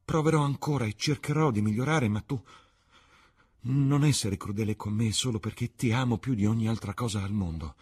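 Spurned young actress, played by a male reader: tender, desperate, breathless pleading in a lifted, husky voice for a literary audiobook. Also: fairly low pitch, a little fast, a little mellow, pitched above the performer's own voice. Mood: pleading, distraught.

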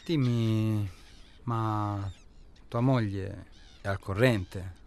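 A man's voice: fairly low pitch, drawn-out words, darkish tone, a little hiss or hum. An actor playing a blunt, guarded male stranger in a radio drama, speaking in terse questions and short replies. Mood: detached, curt. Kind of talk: naturalistic radio drama acting.

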